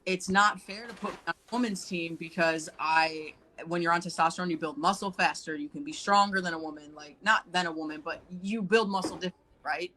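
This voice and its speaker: A man co-hosting a live talk show, speaking in a thoughtful, hedging way with soft laughs. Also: on the high side, fairly quick, hardly any pauses, low-quality recording. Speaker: co-host. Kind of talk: casual live commentary chat.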